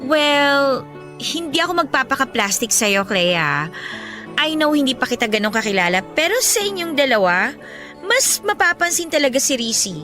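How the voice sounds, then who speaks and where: Self-assured Filipino campus queen, high bright voice, gushy and blunt, radio drama over music. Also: big pitch swings, normal speed, full character voice. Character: popular, confident, blunt older schoolgirl, gushing and vain. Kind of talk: radio drama acting in casual Taglish. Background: background music.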